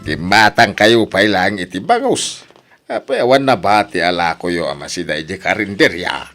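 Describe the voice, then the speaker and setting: An excitable, grumbling older man, acted by a man from an Ilocano comedy radio drama. The voice is fairly low and swings widely in pitch, with an Ilocano accent. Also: normal speed, faint music behind, full character voice. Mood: flustered.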